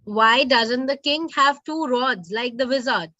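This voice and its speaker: A woman's voice: high voice, a little slow, bright, forceful tone, no room sound. A woman moderating a live question session, relaying students' questions, heard over dull online call audio.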